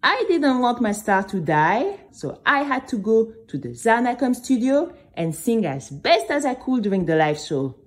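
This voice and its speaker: A woman's voice: a little high, sing-song, moderate pace, hardly any pauses. A woman voicing a nervous, dreamy young girl who tells her own story with dramatic, chatty asides. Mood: anxious, hopeful. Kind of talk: scripted first-person storytelling for children.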